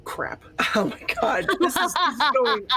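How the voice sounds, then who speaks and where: A woman's voice: high-pitched, sing-song, measured pace, sharp tone, slightly rough. A woman co-hosting a tabletop gaming stream, chatting casually in a goofy, giggly, sweary way. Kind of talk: casual group chat.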